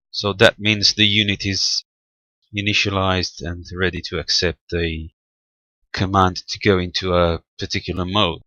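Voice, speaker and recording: A man giving an unscripted, halting but methodical technical walkthrough of equipment. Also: on the low side, sharp tone, no room sound, rough recording. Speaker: amateur radio hobbyist presenting equipment.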